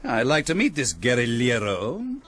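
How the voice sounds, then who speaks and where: An actor playing a brisk, dry-witted aristocratic army general in a radio drama, clipped and commanding. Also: male voice, medium pitch, wildly swooping pitch, a bit bright.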